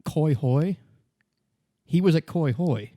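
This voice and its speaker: A man's voice: fairly high pitch, lively intonation, slow pace, mellow, no echo, clean studio sound. A man acting as game master, narrating a tabletop roleplaying game for his player.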